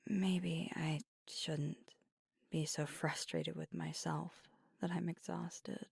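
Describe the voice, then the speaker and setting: A woman voicing a weary, guarded but tender young werewolf girlfriend in an intimate ASMR roleplay, speaking in a breathy, husky, halting hush. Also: sits low, dry recording, studio quality, full character voice. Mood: weary, confiding. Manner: hushed, halting, confiding.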